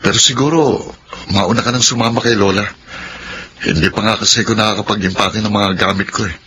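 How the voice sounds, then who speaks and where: An actor playing a grieving, thoughtful young student son in a Filipino radio drama, earnest in manner. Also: male voice, fairly low pitch, fairly even intonation, a little fast, natural voice. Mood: concerned. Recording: very noisy radio drama ambience.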